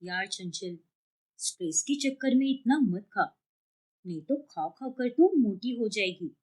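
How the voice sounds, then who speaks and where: A woman's voice: mid-range voice, animated, a little slow, a little mellow, pitched below the performer's own voice. A woman voicing a blunt, exasperated young female friend who nags in a cartoon story. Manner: nagging, exasperated. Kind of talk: cartoon moral story dialogue.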